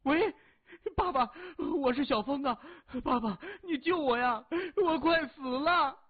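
A spoiled young man in mortal terror for an audiobook. He shouts high and shrill, wailing and close to tears, on thin phone-like audio. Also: unhurried. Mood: hysterical panic. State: panicked, near tears. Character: terrified, spoiled young man begging for his life.

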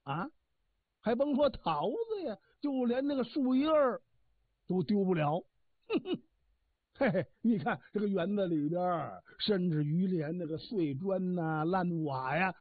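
A man's voice: sits high, lively intonation, a little slow, husky, dry recording, heavily acted. A man doing a swaggering old orchard god. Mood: boastful. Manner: swaggering, barking orders. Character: boastful old male orchard god, bossy and quick-tempered. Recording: tinny phone-like dry sound.

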